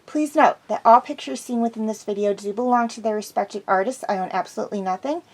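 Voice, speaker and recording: A woman's voice: a little high, fast talker. A woman host chatting casually and enthusiastically through an episode review, slightly congested from a cold.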